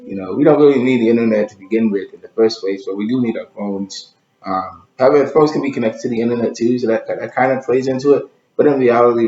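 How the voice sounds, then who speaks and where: A man as a guest in a casual chat, answering in a slow, thoughtful way with pauses. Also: fairly low pitch, a little mellow. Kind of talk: casual conversation. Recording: roomy, dull lo-fi sound.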